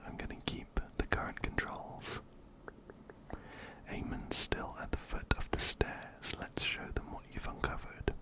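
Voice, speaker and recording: Guy doing asmr gameplay, all soft whispers right in your ear, really slow and gentle. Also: poor audio. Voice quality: breathy unvoiced whisper. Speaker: ASMR video host. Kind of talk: whispered ASMR game playthrough, reading game text aloud. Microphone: close-up binaural microphone, ear to ear.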